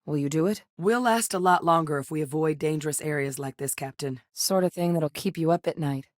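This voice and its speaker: An actress voicing a composed female ex-soldier companion in a video game, speaking in a measured, earnest way. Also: sits low, no room sound, studio quality, lightly acted. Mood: steady. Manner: measured, earnest, matter-of-fact. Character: composed female ex-soldier scientist, duty-bound. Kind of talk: recorded game voice lines. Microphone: close studio microphone.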